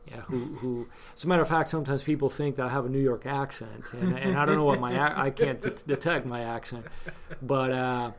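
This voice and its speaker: Cuban-born man, flat hesitant delivery. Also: mid-range voice, brisk, few pauses, crowd noise. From Cuba. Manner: hesitant, many fillers and restarts. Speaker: guest, scouting volunteer. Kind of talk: casual interview chat.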